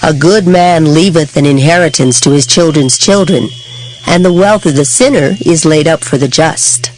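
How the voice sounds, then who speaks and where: A reader giving a dramatized scripture reading with expressive, measured declamation. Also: medium pitch, a little hiss or hum, rough recording. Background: background music.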